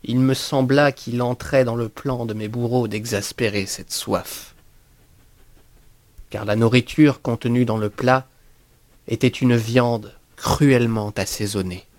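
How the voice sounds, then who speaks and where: A man reading a first-person horror story as an audiobook narrator, in a measured, deliberate and expressive literary style. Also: medium pitch, no echo.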